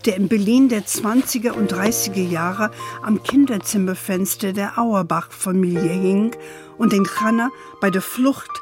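An actress playing a spirited old grandmother who tells family history in a measured way in a children's radio play. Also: medium pitch, over music, lightly acted. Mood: measured narrating. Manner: unhurried, measured storytelling. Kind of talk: children's radio drama storytelling.

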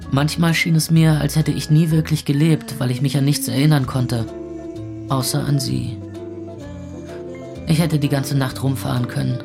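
A man acting as a gloomy young club owner in a radio drama, sardonic and colloquial. His mid-pitched voice broods, with music clearly behind it. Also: fairly even intonation, moderate pace, pauses often, natural voice. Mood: brooding. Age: young adult. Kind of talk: radio play acting and narration.

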